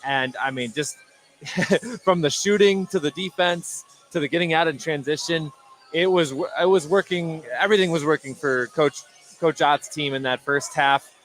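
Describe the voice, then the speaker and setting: A man giving unscripted color commentary on a basketball game, halting and repeating words as he goes. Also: a little high, fairly bright tone, soft background music, poor audio. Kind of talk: unscripted sports analysis.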